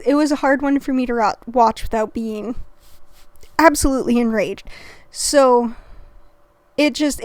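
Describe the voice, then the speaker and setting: A woman hosting a reaction video, talking in a rambling, self-interrupting and passionate way, clearing her throat as she recovers from an illness. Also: fairly high pitch, darkish tone, dry recording. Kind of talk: casual commentary.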